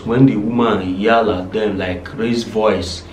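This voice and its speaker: Low-voiced Nigerian man, echoey room. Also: steady tone, slow. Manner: emphatic rhetorical questions. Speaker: co-host, husband. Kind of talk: casual chat. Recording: echoey room, faint noise.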